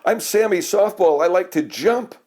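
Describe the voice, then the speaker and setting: Man voicing a big eager softball kid, raised pitch. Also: lively intonation, moderate pace. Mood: proud, eager. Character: big friendly eager young softball boy.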